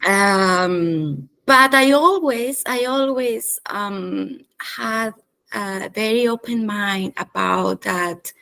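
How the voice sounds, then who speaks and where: A woman from Chile, a chief strategist, answering interview questions in a thoughtful way, with pauses and hesitations. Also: medium pitch, creaky, pushed and bright. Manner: slow, thoughtful, with hesitations and light laughs. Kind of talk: interview conversation.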